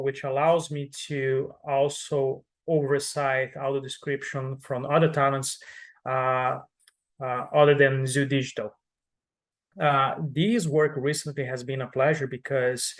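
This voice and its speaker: Brazilian-accented man, thoughtful interview answers. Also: medium pitch. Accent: Brazilian Portuguese. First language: Brazilian Portuguese. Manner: thoughtful, frequent fillers and restarts. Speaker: audio description manager.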